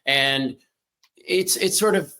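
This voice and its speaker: A man hosting a podcast interview, eager and quick to chime in with short affirmations. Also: fairly high pitch, sharp tone. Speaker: podcast host.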